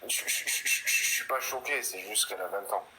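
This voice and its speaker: A man's voice: on the low side, brisk, background noise. A man in his thirties hosting a live chat, questioning his guest and stammering as he pushes back. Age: 30s. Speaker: live stream host. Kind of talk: casual chat.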